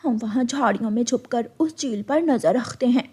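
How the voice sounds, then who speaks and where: A woman's voice: a little high, normal speed, dark tone, husky, pitched below the performer's own voice. A woman voicing a resolute, scheming adult female crow in a children's cartoon, with a firm delivery. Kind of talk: children's cartoon story voice acting.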